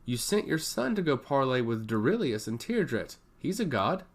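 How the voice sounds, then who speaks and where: Storybook princess, read by a man: earnest, argumentative, pleading, with animated intonation. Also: mid-range voice, normal speed. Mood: defiant. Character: adult princess, earnest, insistent, hopeful.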